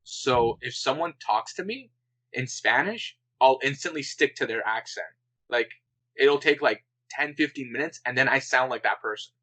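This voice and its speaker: Chatty young man with a bright voice. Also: mid-range voice, normal speed, low-quality recording. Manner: chatty, lots of "like" fillers, laughs often. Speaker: podcast co-host. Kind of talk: casual chat.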